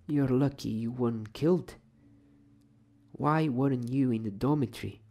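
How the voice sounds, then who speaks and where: A man voicing a stern older woman professor in a storybook reading, scolding in a measured way. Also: mid-range voice, mellow, voice pushed up, lightly acted. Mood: cold fury.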